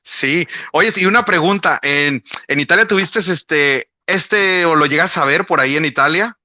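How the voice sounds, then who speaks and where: A man's voice: a little high, normal speed, sharp tone, no room sound, highs cut off. A man from Mexico hosting an online interview, upbeat and full of exclamations. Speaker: host. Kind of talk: animated interview host.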